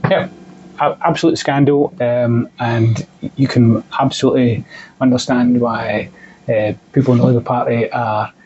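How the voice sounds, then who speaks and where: Very husky man, muffled sound. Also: medium pitch, steady tone, moderate pace, darkish tone. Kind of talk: political discussion, conversational. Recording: dull, muffled lo-fi audio.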